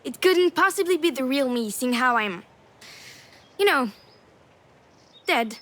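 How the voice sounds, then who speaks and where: A woman playing a young daughter returned from the dead, cheeky, calm and matter-of-fact, in a video game. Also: high-pitched, a little slow.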